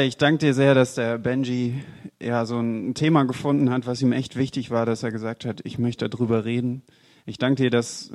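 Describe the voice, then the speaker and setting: A man leads a church gathering at an ordinary pitch. He welcomes the speaker in a friendly tone, asks him a few questions, and prays quietly for him. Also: gravelly. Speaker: church host. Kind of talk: introducing a speaker and praying. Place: church hall.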